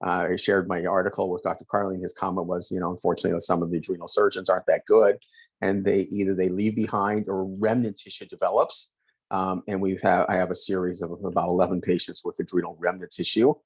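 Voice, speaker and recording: A middle-aged man hosting a webinar, talking quickly with many fillers and self-corrections. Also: low voice, little pitch movement, husky, no room sound, low-quality recording. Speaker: host, endocrinologist. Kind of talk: webinar presentation. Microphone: video call.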